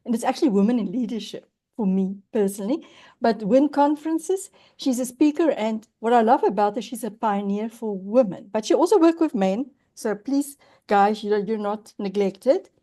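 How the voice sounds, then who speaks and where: A woman's voice: a little high, lively intonation, moderate pace, warm tone. A woman hosting a video-call interview, introducing her guest in an effusive, emphatic way. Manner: effusive, emphatic, spiritual phrasing. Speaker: host.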